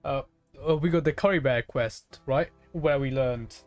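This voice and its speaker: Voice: mid-range voice, normal speed, a little mellow, no room sound. Casual game streamer thinking aloud over music. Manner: rambling, conversational, thinking aloud. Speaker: live streamer playing a video game. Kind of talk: casual gaming stream commentary, chatting with viewers.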